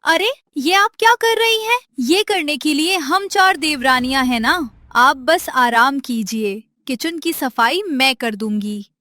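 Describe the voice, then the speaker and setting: Woman doing a sweet young devrani, very high slow voice, caring and earnest, story acting. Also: animated, pushed and bright, dry recording, full character voice. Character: caring, cheerful young sister-in-law, protective. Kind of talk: melodramatic Hindi moral story acting.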